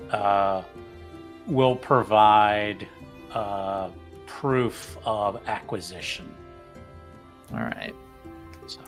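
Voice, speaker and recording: A man acting as game master, narrating a tabletop game in a casual, halting, laid-back manner. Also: fairly low pitch, fairly bright tone.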